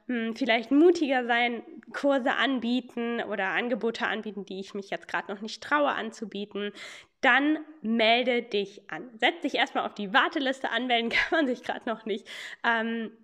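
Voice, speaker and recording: A young woman who hosts a podcast and teaches yoga, talking in a relaxed way with a slight cold and often asking "ja?" as she explains. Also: fairly high pitch. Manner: chatty, many fillers, explains with rhetorical "ja?". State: has a cold.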